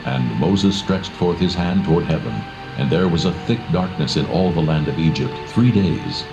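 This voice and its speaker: Very deep solemn male narrator. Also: lively intonation, moderate pace, highs cut off. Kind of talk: solemn dramatised scripture narration. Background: prominent background music.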